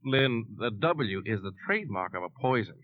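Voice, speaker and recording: A man's voice: lowish voice, lively intonation, moderate pace, no echo, close to an everyday voice. An actor playing an earnest, anxious, determined man in 1950s radio suspense acting. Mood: grave, accusing. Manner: earnest, tense, hesitant. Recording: dull 1950s radio recording.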